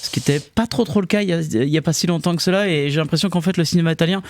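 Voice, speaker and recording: A man hosting a casual radio show in a studio, relaxed and rambling, correcting himself as he goes. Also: fairly high pitch, rapid-fire. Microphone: studio microphone.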